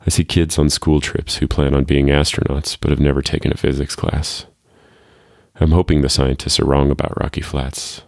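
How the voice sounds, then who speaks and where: A man playing a tired, wryly fatalistic parent working as a security guard narrates a short story. The voice is exceptionally deep and very dry in the recording. The delivery is measured and deadpan, full of resignation. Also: gravelly, dry recording. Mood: wry, resigned. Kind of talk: reading a first-person short story.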